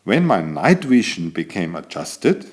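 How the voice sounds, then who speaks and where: A man's voice: sits low, very animated, a little slow. A man voicing an elegant, vain tomcat who chats theatrically to young listeners while telling a children's picture-book story. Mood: confiding. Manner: self-admiring, theatrical, addresses young listeners directly.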